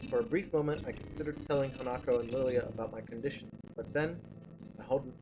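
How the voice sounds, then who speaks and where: A man narrating a first-person story aloud, reading haltingly with small stumbles. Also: mid-range voice. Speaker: streamer reading a visual novel. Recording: clearly noisy background.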